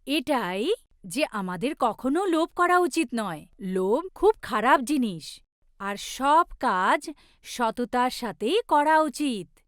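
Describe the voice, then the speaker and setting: Lady telling a kids fairy tale, high voice, super expressive up n down, no room echo. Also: slow, clean studio sound. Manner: simple, instructive, addressing children. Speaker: children's storyteller.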